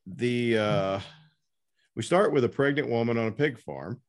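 A man hosting a casual interview, talking in a halting, informal way. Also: medium pitch. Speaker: podcast host interviewing an author.

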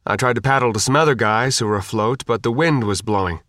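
A man's voice: medium pitch, fast talker, fairly bright tone, no room sound, natural voice. An earnest young Marine lance corporal, acted out by a male narrator. He speaks in precise, formal barks as in a military report. It is audiobook dialogue, recorded dry. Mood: anxious, defensive. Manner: barking, precise military address.

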